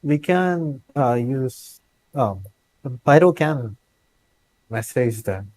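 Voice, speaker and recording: A man's voice: mid-range voice, lively intonation, extremely slow, a little mellow, poor audio. Hesitant man in mid-range voice. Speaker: tabletop game player. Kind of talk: casual chat.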